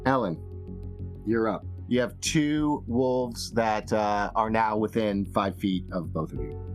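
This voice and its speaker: Low-voiced man running a tabletop game over music. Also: slow. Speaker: game master. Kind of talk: narrating a tabletop role-playing game.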